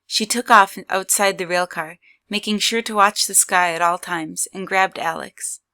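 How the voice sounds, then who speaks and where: A woman's voice: mid-range voice, fairly even intonation, moderate pace, sharp tone. A woman reading scripted third-person story narration as the narrator of an audio drama.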